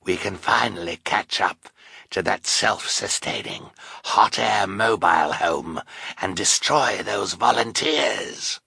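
A sinister storybook villain, as a male narrator plays him. The voice is hoarse and menacing, with slow delivery and a dry sound. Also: on the low side, pushed and bright, dry recording, heavily acted.

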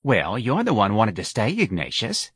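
A man's voice: fairly low pitch, huge pitch swings, normal speed, a bit bright, husky, no echo, clean studio sound, full character voice. A man voicing a tipsy, folksy mother of a grown son, chatting in a bar. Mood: coaxing.

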